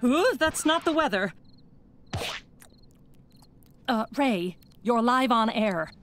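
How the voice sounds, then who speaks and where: Snide cartoon cat anchor, slightly high voice, newsreader parody, arch sarcasm, music behind. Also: female voice, sing-song, unhurried, fairly bright tone. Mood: mock-serious. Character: snide female cat news anchor, mock-serious.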